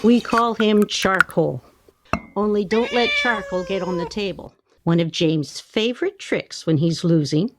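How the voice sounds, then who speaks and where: A woman's voice: mid-range voice, a little slow, background noise, close to an everyday voice. A woman playing a hospitable, drily needling wife in a comic radio play. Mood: dryly matter-of-fact. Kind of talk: stage radio play comedy acting.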